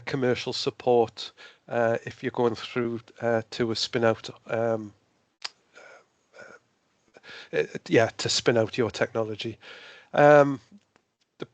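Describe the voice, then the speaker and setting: A man with a cold, sounding a little hoarse, giving a hesitant, informal webinar presentation over a video call. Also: mid-range voice, no echo. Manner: hesitant, many fillers and restarts.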